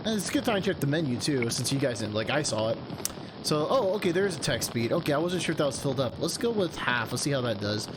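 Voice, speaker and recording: A man's voice: medium pitch, big pitch swings, fast, noisy background. A man hosting a casual, chatty let's-play, rambling and interrupting himself with enthusiastic asides. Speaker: video game streamer and host. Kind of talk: casual, chatty let's-play commentary with some read-aloud game text. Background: game background music.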